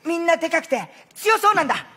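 甲高く元気いっぱいの男子高校生. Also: male voice, very high-pitched, sing-song, exaggerated voice. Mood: earnest, excited. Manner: exuberant, shouty, drawn-out cries. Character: excitable, eager high school volleyball boy. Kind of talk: loud theatrical stage acting. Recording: noisy live stage recording.

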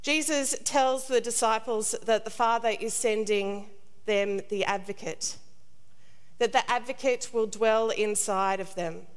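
A middle-aged woman priest delivers a scripted sermon in a measured, solemn, deliberate way. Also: on the high side, clean tone. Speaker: priest, preacher. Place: large stone cathedral, indoors. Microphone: lectern gooseneck microphone. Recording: long cathedral reverb.

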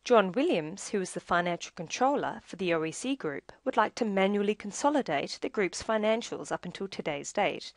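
A woman reading scripted instructional narration for a software tutorial in a measured, explanatory way. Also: mid-range voice, expressive, dry recording, studio quality.

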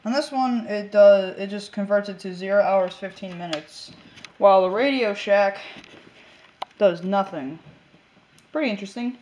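A woman's voice: medium pitch, normal speed, pauses often, noisy background. A woman narrating a casual hobby demonstration in an offhand way, half talking to herself, on a handheld camera in a room at home. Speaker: video maker. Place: small room at home, indoors. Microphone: handheld camera mic.

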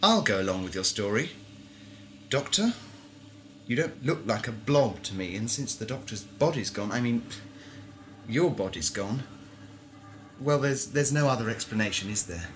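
A man's voice: medium pitch, expressive, normal speed, long pauses, natural voice. A man playing a reasonable, questioning travelling companion with a conciliatory manner in an amateur audio drama. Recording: slightly noisy 1980s home-made audio drama.

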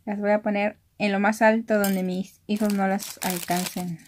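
A woman's voice: mid-range voice, flat delivery, normal speed. A young woman with a Mexican accent chatting casually as she shows craft items, keeping her voice down. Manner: soft-spoken, keeping voice down because others are sleeping. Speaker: craft vlogger. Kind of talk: casual chat, narrating an unboxing. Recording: noisy room with handling rustle and crinkling. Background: clicks, crumpling and crinkling of packaging.

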